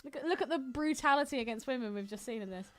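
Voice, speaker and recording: A woman's voice: sits high, fast, a bit bright. A British woman co-hosting a film podcast, chatting casually with irreverent, sweary banter. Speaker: podcast co-host.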